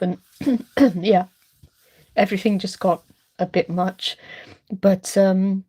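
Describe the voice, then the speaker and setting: A middle-aged woman rambles through a late-night live stream, full of ums and friendly hellos to viewers. Her voice is low and mellow, thick and congested from a chest cold. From Wales. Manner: rambling, chatty, many ums, greets viewers. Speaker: art channel host.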